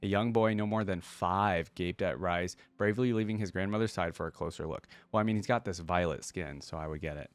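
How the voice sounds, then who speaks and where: Low-voiced man reading a story, close and dry. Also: fairly quick, hardly any pauses, clean tone, no echo. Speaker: reaction video host reading a fantasy story aloud. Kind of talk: expressive story narration with casual asides.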